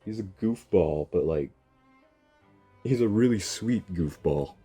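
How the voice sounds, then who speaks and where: Animated, mellow male game streamer. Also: sits low, slow, breathy edge, soft background music. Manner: joking, exclaiming, slangy. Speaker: gaming streamer. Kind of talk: casual game reaction commentary.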